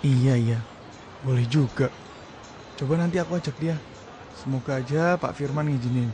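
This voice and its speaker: A man voicing a thoughtful young man in a radio play, casual and reflective. His voice sits at a middle pitch. Clear ambient noise runs underneath. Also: moderate pace, close to an everyday voice. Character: thoughtful young man Haji, Damar's older brother. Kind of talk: radio drama acting.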